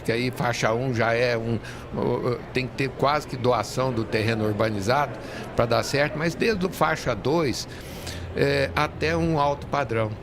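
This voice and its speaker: A man talks as an interview guest at a medium pitch. He explains in a halting, repetitive way, with clear venue noise behind him. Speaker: real estate industry leader, guest. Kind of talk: interview conversation. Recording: noisy venue with urban ambience. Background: clear ambient noise of a busy fair.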